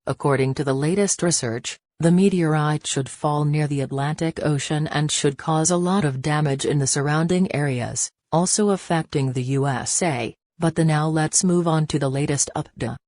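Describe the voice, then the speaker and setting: A woman's voice: low voice, normal speed, few pauses, darkish tone, dry recording, studio quality. A woman presenting a scripted radio news bulletin in a formal manner.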